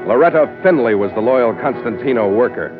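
A man's voice: medium pitch, lively intonation, a little fast, natural voice. An actor playing a tough, terse American sea captain who narrates his own adventure in an old radio drama. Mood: grim narration. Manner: clipped hardboiled delivery. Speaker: lead actor and first-person narrator. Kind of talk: 1940s-50s radio adventure acting. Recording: tinny old radio recording, music behind.

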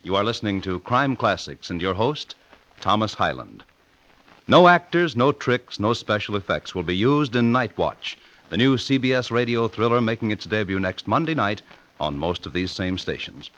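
Low-pitched male vintage radio announcer. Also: expressive, moderate pace, a bit bright. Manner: formal, emphatic announcer delivery. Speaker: network announcer. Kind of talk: 1950s radio announcing, promo read. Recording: muffled vintage radio broadcast.

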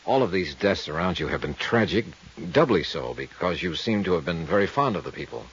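A male actor with an American accent playing a cool, steady insurance investigator in a 1950s radio detective drama. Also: sits low, a little fast, slightly rough, full character voice. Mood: calm, reassuring. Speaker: lead actor and narrator of a radio detective serial. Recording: muffled 1950s radio recording.

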